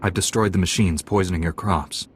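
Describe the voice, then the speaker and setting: Quick, low-voiced man, heroic knight acted. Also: a little hiss or hum, close to an everyday voice. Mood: calm, resolute. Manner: reassuring, matter-of-fact. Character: calm, confident young Jedi knight hero. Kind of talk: video game drama acting.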